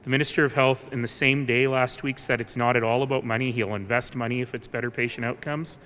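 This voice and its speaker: A man giving an argumentative public speech as a civic official, deliberate and pointed, with emphatic pauses. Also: fairly even intonation, a bit bright. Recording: echoing hall with low rumbling background noise.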